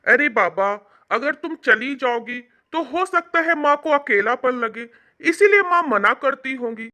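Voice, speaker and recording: Woman acting a high, bright-voiced young husband. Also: lively intonation, measured pace, exaggerated voice. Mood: placating. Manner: coaxing, questioning. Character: young husband, male, concerned, placating. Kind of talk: dramatised family story.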